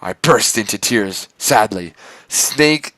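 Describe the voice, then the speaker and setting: A man's voice: lowish voice, normal speed, fairly bright tone, dry recording. A man doing a mock-dramatic amateur reading, stumbling over the words and breaking off for exasperated asides. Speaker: amateur reader of fan fiction.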